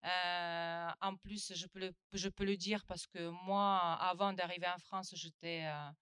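A woman from Ukraine, Russian her first language, talks haltingly at a round-table, stopping and restarting often. Her voice is smooth and clear, recorded dry and close. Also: fairly low pitch, flat delivery, fairly bright tone, no echo. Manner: hesitant, many fillers and restarts. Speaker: panelist. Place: conference room.

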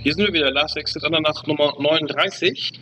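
A male podcast co-host chatting casually, haltingly repeating words as he searches for the next one. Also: a little high, over music. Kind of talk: casual chat.

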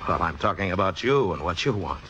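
Low-pitched and forceful, a frank man who directs in the theater. He talks conversationally with small hesitations and gentle amusement, in 1950s radio drama style. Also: sing-song, a little fast, highs cut off, heavily acted. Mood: amused, deflecting.

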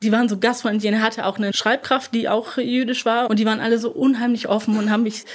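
Chatty woman with a high voice. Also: level tone, fast talker. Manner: chatty, with frequent fillers and laughs. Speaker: interview guest. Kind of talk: casual conversational interview.

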